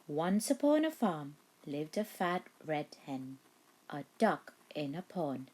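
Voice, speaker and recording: A woman reading a children's storybook aloud in an expressive, deliberate way, like a teacher. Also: low voice. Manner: deliberate, animated with acted animal noises and voices.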